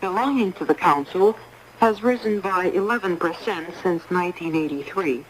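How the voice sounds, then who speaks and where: A woman reading a scripted news bulletin in a formal, measured way, heard over a 1980s shortwave radio broadcast. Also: on the low side, slight background noise, rough recording. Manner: formal, measured announcer delivery.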